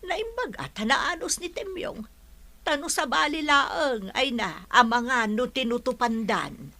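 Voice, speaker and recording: A woman playing a calm, motherly older housekeeper. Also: sits high, unhurried, exaggerated voice. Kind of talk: radio drama acting.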